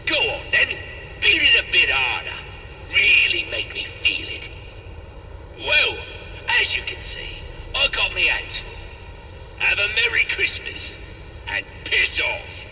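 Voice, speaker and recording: An actor playing a crude, taunting crime boss in a game, with a mocking, sneering delivery. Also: male voice, sits high, wildly swooping pitch, takes it slowly, vocal fry, pauses often, pushed and bright, full character voice. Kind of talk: cinematic game villain acting. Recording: distant voice with faint vehicle-like noise.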